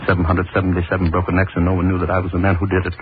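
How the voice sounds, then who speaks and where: A haunted, desperate executioner, played by a man broods in a low, tormented voice. It is 1930s radio drama, thin and tinny with a faint hiss behind it. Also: completely flat delivery, rapid-fire, close to an everyday voice. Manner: tormented, brooding inner monologue. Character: haunted middle-aged male state executioner, desperate. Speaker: actor.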